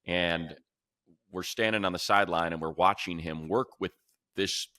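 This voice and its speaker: A man hosts a sports talk show in a very low voice with a bright, forceful edge. The sound is dry and close. He speaks conversationally, slipping into other people's words as he makes his points. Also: normal speed, no room sound. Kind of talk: casual sports talk and commentary.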